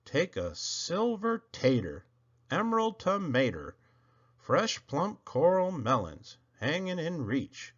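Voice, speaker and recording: Very animated man reading children's poetry. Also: a little high, highs cut off. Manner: theatrical, playful emphasis and dramatic pauses. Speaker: storybook reader. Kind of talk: expressive children's poetry read-aloud.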